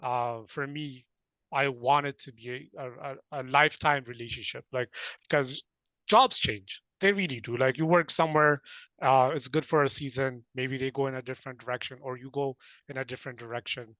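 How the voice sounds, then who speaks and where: A man from Ethiopia hosting a casual interview, hesitant with frequent restarts, then bursts of animated laughter. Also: medium pitch, normal speed, no echo. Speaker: interview host, talent company founder.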